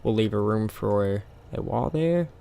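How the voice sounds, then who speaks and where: A man's voice: lowish voice, animated, measured pace, creaky, mellow. A man with a scratchy, tired voice, talking casually through a game and rambling with plenty of fillers. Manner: rambling, with many fillers and small laughs. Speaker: gameplay commentator.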